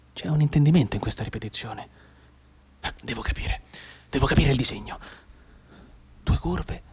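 Husky, desperate bedridden man. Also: fairly high pitch, exaggerated voice. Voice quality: husky, breathy, often dropping to a whisper. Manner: halting, repetitive, emotionally volatile. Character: desperate bedridden mute soldier, thinking in anguished monologue. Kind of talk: intense theatrical radio drama monologue.

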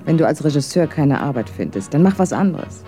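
A woman's voice: low voice, very animated, a little fast, warm tone. Radio drama role: scornful, embittered career woman, proud and cutting, music behind. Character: bitter, proud former career woman in a wheelchair, disdainful.

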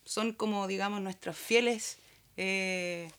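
A woman with a Chilean accent and a medium-pitched voice co-hosts a radio programme. She moves between casual talk and read announcements. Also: fairly even intonation, takes it slowly, a bit bright, smooth. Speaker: radio show co-host. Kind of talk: casual chat and scripted announcements.

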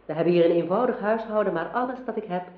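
An actress playing a gracious, scheming royal enchantress in a radio drama, speaking formally and deliberately. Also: female voice, mid-range voice, a little hiss or hum, close to an everyday voice. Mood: gracious, inviting. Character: courtly enchantress sister of a king, gracious and scheming. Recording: dull, lo-fi radio play recording.

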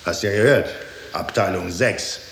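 An actor playing a jaded, cynical office clerk with an offhand manner in a 1960s German radio drama. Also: male voice, sits low, measured pace, gravelly, a bit bright, reverberant, slight background noise, heavily acted. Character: bored, cynical male office clerk of a sinister agency.

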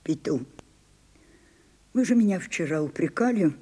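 Slow, mellow, imperious old actress. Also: female voice, on the low side, lively intonation, breathy edge. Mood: theatrical, insistent. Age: older. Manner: theatrical, commanding, dramatic pauses. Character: imperious, theatrical old French actress, weary and capricious. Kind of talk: Soviet radio drama acting.

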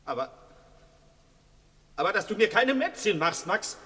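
Radio crime drama: edgy young criminal, high, dry-miked, blustering but scared. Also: male voice, big pitch swings, a little slow, bright, forceful tone, slightly rough, dry recording, heavily acted. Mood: edgy, threatening. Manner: agitated, stammering repetitions, blustering. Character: nervous young male crook, threatening yet easily frightened.

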